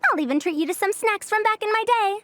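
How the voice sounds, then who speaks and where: A woman's voice: very high-pitched, expressive, fast talker, a bit bright, no room sound. A woman voicing a proud, prickly scholar with a youthful look and an old-fashioned, pompous lecturing manner in an anime game dub. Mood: brisk, fond.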